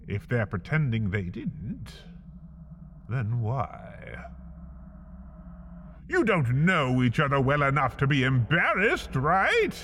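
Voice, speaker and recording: Male storyteller, slightly high voice, dry humor, unhurried, comic second-person narration. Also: fairly bright tone, long reverb tail, slight background noise. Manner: slow, wry second-person storytelling with big swings in pitch. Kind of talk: scripted comedic narration.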